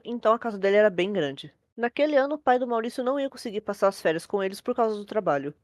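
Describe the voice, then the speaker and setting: A woman's voice: on the high side, darkish tone, no echo. A young Brazilian woman telling a scary story as host, in casual scripted narration. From Brazil, from a capital city.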